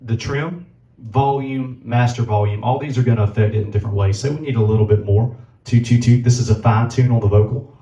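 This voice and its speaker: A man with a low voice giving a casual tutorial, talking through settings as he adjusts them. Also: fairly quick, muffled recording. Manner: relaxed, talks while adjusting settings. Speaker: musician demonstrating gear.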